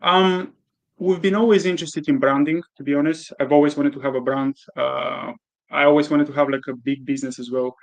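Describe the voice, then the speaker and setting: A man from Bulgaria answering interview questions candidly, with frequent pauses and fillers. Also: on the high side, steady tone, creaky. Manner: candid, hesitant with many "uh"s. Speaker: guest, e-commerce entrepreneur.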